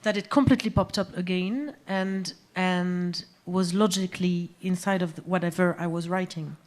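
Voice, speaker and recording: A woman, a musician guest talking about her music in a live on-stage interview, pausing often to search for words. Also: fairly low pitch, fairly even intonation. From Algeria, raised in France. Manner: hesitant, searching for words, many fillers. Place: small music venue stage.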